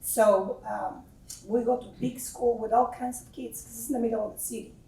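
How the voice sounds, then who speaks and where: A woman's voice: fairly high pitch, fairly even intonation, normal speed, mellow. A woman in her forties from Mexico City with a Mexican Spanish accent, speaking as a grateful parent from the audience in a chatty way. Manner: chatty, grateful, laughing often. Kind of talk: spontaneous audience remarks. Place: large meeting room. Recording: echoey room, voice from far off the mic.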